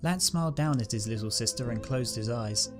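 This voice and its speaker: A man narrating a fan-written pony story in a calm, steady reading voice. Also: medium pitch, brisk. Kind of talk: fanfic audiobook reading. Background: guitar music.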